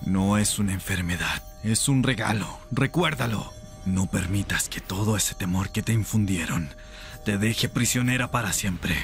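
A man voicing a chained rebel mage in an ASMR roleplay, intense, seductive and tender, with a breathy, gravelly voice. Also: on the low side, moderate pace, faint music behind. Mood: tender, coaxing.

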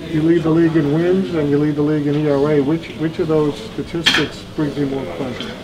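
A man's voice: on the high side, fairly even intonation, a little fast, poor audio. A man working as a sports reporter, asking short postgame questions. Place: team locker room, indoors. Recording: loud locker room chatter.

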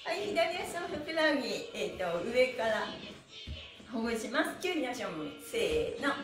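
A woman's voice: fairly high pitch, big pitch swings, unhurried. A middle-aged woman teaching an exercise class, cueing and chanting rhythmically in a cheerful, encouraging way. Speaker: stretching class instructor and pantomime teacher. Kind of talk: exercise instruction with chanted counts. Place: small bare indoor studio room. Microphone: camera a few meters away. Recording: echoey room, mic far off, faint music.

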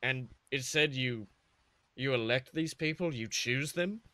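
Bewildered pirate captain, played by a male reader, hesitant and questioning, medium pitch, dry recording, comic storytelling. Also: a little slow, bright, forceful tone, dry recording. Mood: puzzled. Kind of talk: comedic fan fiction reading.

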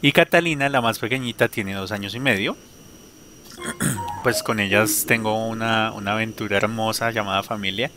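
A man in his thirties, a guest sharing his faith story on a video call through a headset, talking warmly with frequent laughs and fillers. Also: lowish voice, normal speed, noisy background. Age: 30s. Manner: warm, laughs often, hesitant fillers. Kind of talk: personal testimony in an interview.

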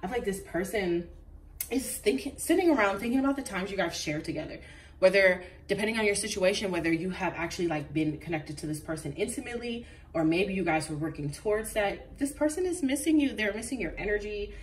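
A congested woman giving a casual tarot reading, chatty and animated, with plenty of ums. Her voice sits a little back from the mic, and faint noise hums underneath. She sounds like she's talking across a table at home. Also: medium pitch, brisk, distant mic, poor audio. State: congested from a recent flu. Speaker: tarot reader. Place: indoors at a table with crystals.

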